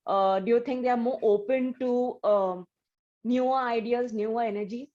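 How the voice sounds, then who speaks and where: A woman's voice: on the high side, fairly even intonation, normal speed, highs cut off. A woman hosting an interview, asking long, rambling questions full of "uh" and "you know". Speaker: interview host. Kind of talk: casual interview talk.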